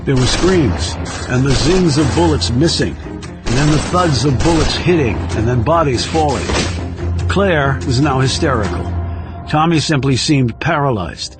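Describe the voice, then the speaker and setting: A man's voice: mid-range voice, animated, a little slow. A man reading a thriller audiobook as narrator, in a scripted storytelling style. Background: music under the reading.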